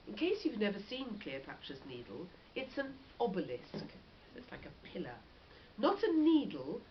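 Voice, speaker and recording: Animated woman reading a story, dull recording. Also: medium pitch. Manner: animated, with short explanatory asides. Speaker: teacher reading to pupils. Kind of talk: expressive children's storybook reading. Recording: dull lo-fi audio with faint noise.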